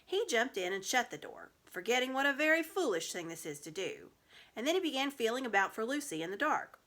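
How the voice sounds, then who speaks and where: A woman reading a children's story aloud in an expressive narrating style. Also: a little high, a little fast. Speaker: storybook reader.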